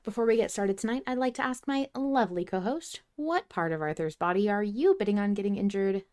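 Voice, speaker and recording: A woman's voice: medium pitch, brisk, a little mellow. A woman hosting a podcast in casual fan chat, effusive and given to swearing. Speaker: podcast host.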